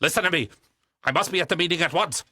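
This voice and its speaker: Audio drama tycoon: arrogant, agitated, high forceful voice that stammers under pressure. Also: male voice, fast talker, slightly rough, dry recording, studio quality, heavily acted. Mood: alarmed, urgent. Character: arrogant, impatient wealthy businessman, panicking and desperate. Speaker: actor. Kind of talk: audio play acting.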